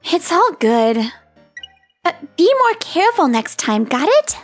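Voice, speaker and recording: A woman's voice: very high-pitched, sing-song, slow pace, soft background music, heavily acted. A woman voicing a tough, hot-tempered teenage delinquent girl who talks blunt and threatening. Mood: gruff, easygoing. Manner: blunt, threatening street talk. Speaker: voice actor in a manga dub.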